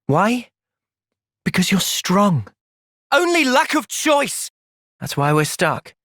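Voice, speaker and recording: A young man playing a thoughtful, earnest young soldier in video game cutscenes, speaking in a gentle, reflective manner. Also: high voice, sing-song, slow, dry recording, studio quality, full character voice. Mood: urgent, intense.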